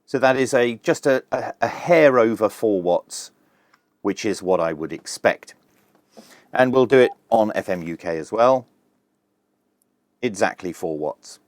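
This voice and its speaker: A man's voice: lowish voice, animated, measured pace, long pauses, no echo. A man presenting a casual hands-on product review, plain-spoken and methodical, with dry humour.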